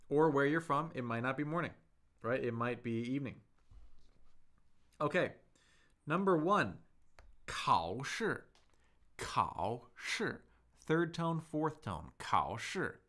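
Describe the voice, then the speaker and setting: A man's voice: medium pitch, fairly bright tone. A man teaching a live-streamed Chinese lesson, patiently explaining tones, modelling words aloud and answering viewers' chat.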